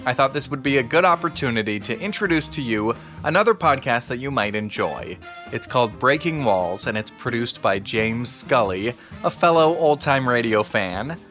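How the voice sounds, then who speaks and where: Male podcast host, forward bright tone, scripted introduction over faint music. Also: medium pitch, expressive, moderate pace.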